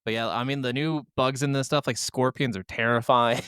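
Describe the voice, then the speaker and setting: A man's voice: medium pitch, steady tone, normal speed, fairly bright tone, clear, resonant tone, no echo. A man co-hosting a gaming podcast, chatting casually and enthusiastically in a close recording. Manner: enthusiastic, informal. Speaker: podcast co-host.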